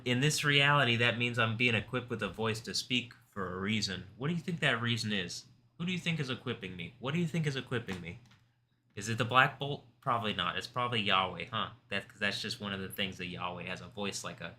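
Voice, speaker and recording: A man hosting a live stream, talking in an intense, rambling way, confrontational at times and soft-spoken at others. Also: lowish voice, slight background noise. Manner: calls himself soft-spoken. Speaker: livestream host.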